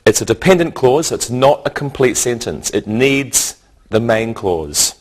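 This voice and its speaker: A man teaching English grammar in a scripted lesson, speaking in a deliberate, didactic way and setting out each point in short phrases. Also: medium pitch, big pitch swings.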